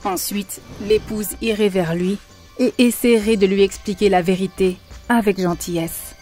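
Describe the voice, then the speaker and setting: A gentle but preachy spiritual teacher from an animated film, as a woman plays her, lecturing her listeners earnestly. Her voice is of ordinary pitch and even, with faint music in the background. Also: unhurried, natural voice. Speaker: narrator and teacher.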